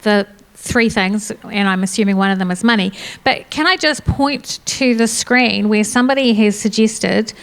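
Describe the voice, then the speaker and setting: A woman moderating a conference panel, briskly affirming answers and reading out questions from the room. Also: mid-range voice.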